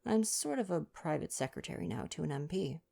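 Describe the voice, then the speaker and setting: A woman's voice: low-pitched, expressive, a little fast, creaky, mellow, heavily acted. A woman voicing a polite, reserved young man, an ex-soldier, in a read-aloud novel. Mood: modest.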